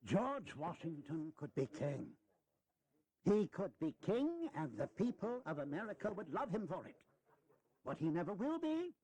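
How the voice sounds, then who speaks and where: An actor playing a shrewd, philosophical old statesman in a historical audio drama, speaking in deliberate, theatrical oratory. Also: high-pitched, sing-song, a little mellow, low-quality recording. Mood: philosophical, firm.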